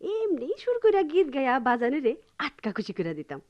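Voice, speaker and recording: High, animated village wife. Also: female voice, a little fast, dull audio. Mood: playful, coy. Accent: rural Bangladeshi. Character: defiant, playful village wife, fed up with poverty. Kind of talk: Bengali radio drama acting.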